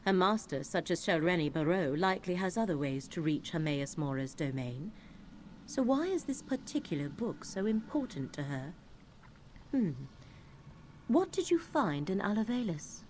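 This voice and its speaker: A woman voicing a solemn, cryptic emissary in a fantasy video game, speaking in a formal, measured and deliberate way. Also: on the low side, very animated, a little mellow, slight background noise. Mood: pondering. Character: solemn, cryptic female emissary of a lore-keeping power.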